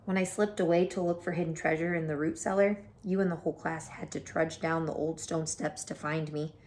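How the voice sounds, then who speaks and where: A woman reading a children's picture book aloud as an expressive storyteller. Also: low voice, a little fast, a little hiss or hum.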